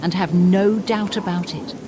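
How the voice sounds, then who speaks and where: A woman's voice: fairly low pitch, fairly even intonation, unhurried, noisy background, natural voice. A woman voicing a bitter, damned female spirit in a fantasy game, speaking in a solemn, theatrical and formal way. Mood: cold, ominous. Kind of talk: dramatic fantasy game dialogue.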